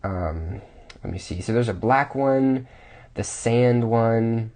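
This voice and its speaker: A man's voice: medium pitch, takes it slowly, a little mellow. A man reviewing watches casually, thinking aloud with restarts, his voice a bit off from a cold.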